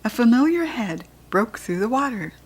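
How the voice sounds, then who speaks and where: A woman with an American accent reading a children's book aloud in a clear, expressive voice. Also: fairly high pitch, normal speed.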